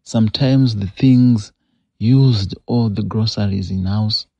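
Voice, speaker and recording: A man's voice: on the low side, takes it slowly, vocal fry, warm tone, no room sound. A man with a Zimbabwean English accent hosting a show, reading a confession aloud and then giving earnest, scolding advice with repeated phrases. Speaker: host. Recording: dull lo-fi dry recording.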